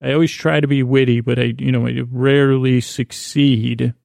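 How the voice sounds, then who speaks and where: A man hosting a sleep podcast with a meandering, halting, whimsical bedtime monologue in a gravelly, creaky voice. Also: mid-range voice, steady tone, darkish tone, no room sound, clean studio sound. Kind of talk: rambling improvised bedtime monologue.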